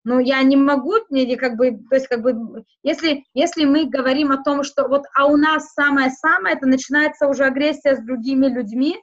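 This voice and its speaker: A woman whose first language is not Russian, speaking haltingly in a casual interview, with many fillers and restarts. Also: high voice, steady tone, highs cut off. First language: not Russian; schooled outside Russian. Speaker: interview guest.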